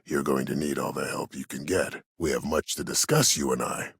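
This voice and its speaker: An older military mastermind for a video game, with an extremely low, husky voice. He speaks formally and with measured, silky politeness that carries quiet menace. The sound is dry and close. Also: steady tone, dry recording, exaggerated voice. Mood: measured, ominous. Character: calculating, courteous, menacing older male military schemer. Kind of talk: dramatic video game voice acting.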